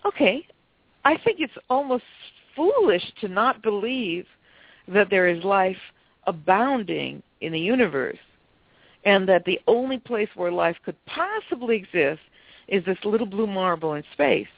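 A woman's voice: medium pitch, big pitch swings, a little slow, creaky, no room sound. A woman guest with a stuffy cold, earnestly explaining her views as a psychic and author over a phone line. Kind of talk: phone interview, explanatory talk.

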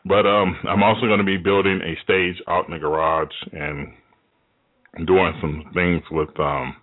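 Male host with a very deep voice, loose rambling delivery, thin phone-like recording. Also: little pitch movement, measured pace. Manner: rambling, many fillers and restarts. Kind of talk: casual radio show talk. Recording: tinny phone-like audio.

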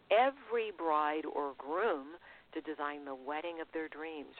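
A woman hosting a radio interview show, upbeat and promotional, spelling out web and email addresses. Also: low-pitched. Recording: phone-like narrow band.

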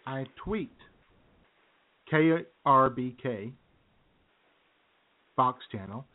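A man's voice: mid-range voice, animated, very slow. Chatty man on a tinny phone line. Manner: chatty, rambling storyteller with chuckles. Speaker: radio show co-host. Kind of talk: casual banter.

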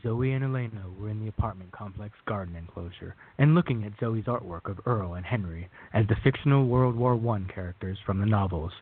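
A man's voice: on the low side, brisk, hardly any pauses, natural voice. A man narrating a radio play as its storyteller, reading from a script on a thin recording. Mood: measured storytelling. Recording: thin, phone-like audio.

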